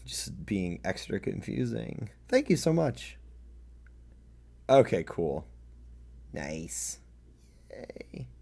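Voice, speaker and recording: A man in his late thirties chatting casually and haltingly with livestream viewers, in a self-deprecating way. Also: sing-song, vocal fry, warm tone, breathy edge. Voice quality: deep. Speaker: streamer reading own fan fiction.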